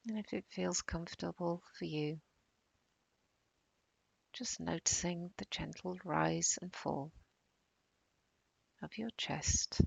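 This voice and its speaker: A woman guiding a body-scan meditation in a calm, soothing, unhurried way, with long pauses and soft, breathy phrase endings. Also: on the low side, dry recording, lo-fi sound. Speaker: meditation guide.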